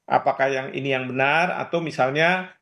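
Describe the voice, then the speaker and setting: A man giving expert analysis in an interview, explaining in a halting way. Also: mid-range voice, pushed and bright. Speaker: expert guest, professor.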